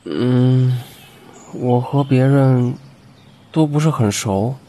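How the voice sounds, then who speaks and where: A man's voice: mid-range voice, dark tone, close to an everyday voice, rough recording. A young man playing a shy, reserved teenage schoolboy who speaks hesitantly in an audio comic drama. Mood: awkward. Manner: hesitant, halting.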